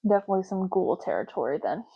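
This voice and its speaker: A soft-spoken woman doing an ASMR roleplay as a clerk, talking casually and matter-of-factly in unhurried short phrases with pauses. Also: medium pitch, very animated, gravelly, breathy edge, dull audio. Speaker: ASMR roleplay host, playing an intake clerk making ID cards.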